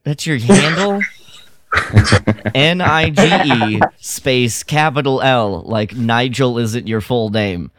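A man chatting casually on a fan podcast, rambling through his thoughts in an animated way. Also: mid-range voice, takes it slowly, background noise. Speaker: podcast panelist. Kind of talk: casual film-fan chat.

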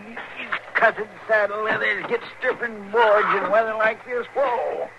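An actor playing a folksy, grumbling but loyal western deputy with a plaintive American Western drawl in a radio drama. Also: male voice, high-pitched, unhurried, creaky, muffled recording. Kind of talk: 1950s radio drama acting. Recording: noisy 1950s radio recording.

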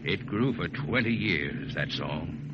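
A man's voice: low-pitched, lively intonation, takes it slowly, heavy background noise. An actor playing a veteran spaceman who narrates a shipmate's legend in 1950s radio drama style. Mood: nostalgic. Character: reminiscing old spacefarer telling a shipmate's legend. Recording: muffled 1950s radio recording with loud music.

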